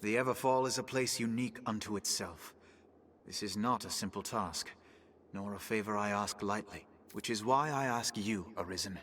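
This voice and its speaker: An actor playing a solemn keeper of an ancient gateway in a fantasy game, speaking in formal, archaic, grave phrases. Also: male voice, fairly low pitch, normal speed, a bit bright, slight background noise, lightly acted. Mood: grave, pleading. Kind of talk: scripted fantasy game dialogue.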